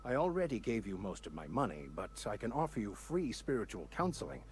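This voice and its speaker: An actor playing a smooth-talking, self-assured vicar in a video game, measured, articulate and wry. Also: male voice, lowish voice, very animated, darkish tone. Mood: persuasive, confident. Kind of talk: scripted video game dialogue.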